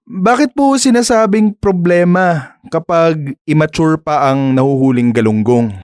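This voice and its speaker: An actor plays a bashful, flattering young man in a radio drama. His voice is smooth and fairly high for a man. He speaks slowly and politely, with hesitant pauses, very close and dry. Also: dry recording, lightly acted. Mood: hesitant, admiring. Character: shy, flattering young son of a vessel owner.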